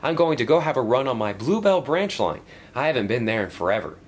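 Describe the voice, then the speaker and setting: Brief: man voicing an old worried storybook steam engine, pitched high, lively. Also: quick pace, a little hiss or hum, natural voice. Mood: earnest. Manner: anxious, earnest.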